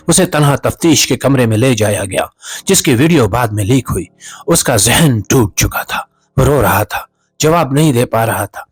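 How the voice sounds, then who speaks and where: A man delivering scripted dramatic narration as a host, in a solemn, impassioned and rhetorical manner. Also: medium pitch. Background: clear music bed under the voice.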